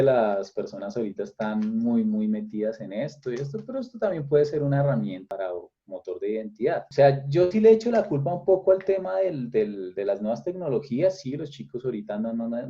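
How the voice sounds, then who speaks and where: A young Colombian man with a Colombian accent giving thoughtful, reflective answers in an interview, often restarting phrases and rising in pitch when he stresses a point. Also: mellow. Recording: dull lo-fi recording.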